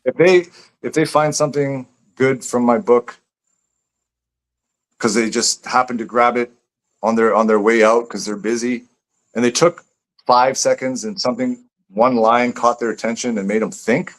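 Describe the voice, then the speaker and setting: A man with an average-pitched voice talks casually as a guest author in a live interview. He is reflective and tends to ramble, circling back and repeating phrases while he works out what he means. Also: fairly even intonation, normal speed, rough recording.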